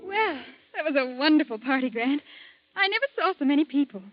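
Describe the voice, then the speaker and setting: An actress playing an anxious, loyal young wife in a 1940s radio drama, pleading in a fretful, breathless way. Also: female voice, sits high, expressive, fairly quick, music in the background. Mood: worried. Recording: dull old radio recording.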